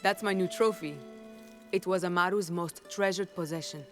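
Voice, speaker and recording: A woman playing a composed, resolute rebel leader in a video game, measured and authoritative. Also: lowish voice, fairly even intonation, faint music behind, natural voice. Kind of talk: naturalistic video game acting.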